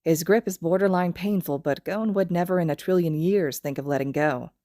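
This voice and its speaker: A woman's voice: on the low side, a little fast, mellow, no echo, studio quality. A woman reading a story aloud in scripted narration. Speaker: podfic reader.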